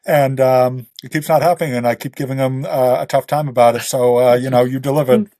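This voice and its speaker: A man's voice: medium pitch, fairly even intonation, a little fast, warm tone, dry recording. A man chatting casually on a podcast in an informal, teasing way. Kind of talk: casual chat.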